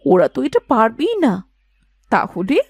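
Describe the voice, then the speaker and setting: A woman playing a chatty, teasing middle-aged village wife and mother in a cartoon folktale, expressive and full of questions. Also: a little high, unhurried, dry recording, full character voice. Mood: doubtful, concerned. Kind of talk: animated folktale dialogue acting.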